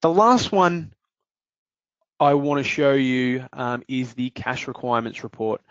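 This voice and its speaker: A man walking through a software demonstration in a webinar, explaining steps with frequent fillers. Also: mid-range voice, animated, measured pace, clear, resonant tone, no echo, muffled recording. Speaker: software demo presenter.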